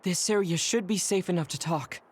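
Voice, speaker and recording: A woman playing a calm, serious young officer in a video game, polite and matter-of-fact. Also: on the low side, normal speed. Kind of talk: English video game voice acting. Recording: clear music underneath the dialogue.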